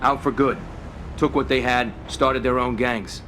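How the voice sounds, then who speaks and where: An actor playing a loyal, businesslike young mob boss in a video game, plain and direct. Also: male voice, mid-range voice, steady tone, measured pace, a bit bright, a little hiss or hum, lightly acted. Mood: respectful, terse. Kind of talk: video game cutscene acting.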